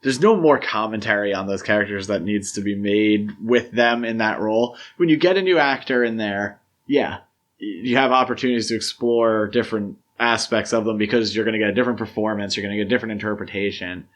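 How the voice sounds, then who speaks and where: A man's voice: on the low side, fairly quick, a bit bright. A man co-hosting a podcast, chatting casually and giving his opinions with emphasis. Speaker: podcast co-host. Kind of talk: casual film discussion chat.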